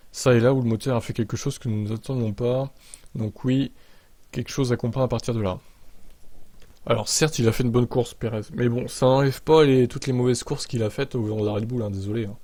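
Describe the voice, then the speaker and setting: A man's voice: mid-range voice, mellow, no echo. Dry-sounding Frenchman, informal motorsport commentary. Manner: informal, sardonic, slangy. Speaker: motorsport commentator and video host. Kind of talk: news narration with blunt opinionated asides.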